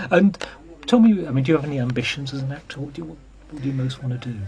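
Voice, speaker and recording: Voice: mid-range voice, fast talker, a little mellow. An interviewer asking casual questions in an informal, tentative way.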